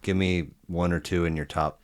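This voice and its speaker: A male podcast host with a very low, smooth and resonant voice. He talks in a loose, halting way, circling back and restarting his sentences as he goes. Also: steady tone. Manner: halting, many restarts and fillers. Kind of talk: casual podcast interview.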